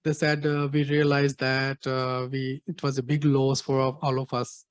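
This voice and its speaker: A middle-aged man with an Indian accent answering audience questions in a halting way, full of fillers and repeated "actually". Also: medium pitch, little pitch movement, smooth, dry recording. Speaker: guest speaker, activist.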